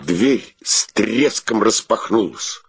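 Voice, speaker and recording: An actor narrating a wry comic period tale in a radio play, in a measured, dramatic storytelling manner. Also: male voice, on the low side, lively intonation, gravelly, slightly rough, no echo, full character voice.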